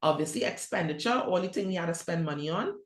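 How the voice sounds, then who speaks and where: A woman's voice: lowish voice, lively intonation, fast talker, roomy sound. A middle-aged woman with a Trinidadian accent giving a conference presentation on youth ministry, talking in a direct, conversational way. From Trinidad and Tobago. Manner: conversational, direct, with restarts and rhetorical questions.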